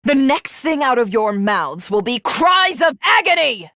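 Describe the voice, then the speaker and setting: An actress voicing an arrogant, cruel video game villainess who craves godhood, with haughty, grandiose and menacing formal diction. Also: female voice, high-pitched, very animated, a little slow, sharp tone, dry recording, clean studio sound, full character voice. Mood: contemptuous fury.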